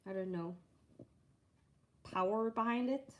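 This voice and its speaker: Slow soft-spoken Norwegian woman. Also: mid-range voice. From Norway. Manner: slow and soft-spoken, reading chat aloud. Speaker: stitching livestream host. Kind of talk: casual livestream chat.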